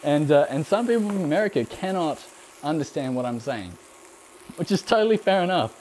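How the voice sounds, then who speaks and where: A man's voice: a little high, fairly quick, slight background noise, poor audio. A young Australian man with a mild accent hosting a live cooking stream, chatting loosely with fillers while he answers viewer questions. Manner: rambling and relaxed, frequent ums, laughs often. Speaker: cooking channel host.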